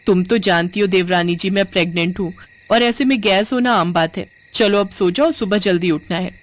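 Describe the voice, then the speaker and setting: A woman's voice: mid-range voice, normal speed, natural voice. A woman voicing a put-upon, bossy pregnant elder sister-in-law in a cartoon family story, with a reproachful manner. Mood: casual, placating. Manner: scolding, reproachful. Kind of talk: animated Hindi family story dialogue.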